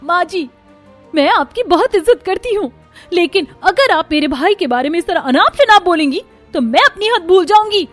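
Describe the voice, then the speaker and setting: A woman's voice: very high-pitched, lively intonation, normal speed, a bit bright, soft background music. A woman voicing a devoted, outspoken young sister in a family cartoon, earnest and emphatic. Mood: defiant.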